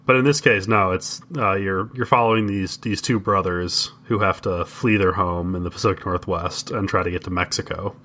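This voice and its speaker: A man co-hosting a podcast, casually discussing comics in halting, measured phrases. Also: low voice, steady tone, pushed and bright, a little hiss or hum. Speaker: podcast co-host.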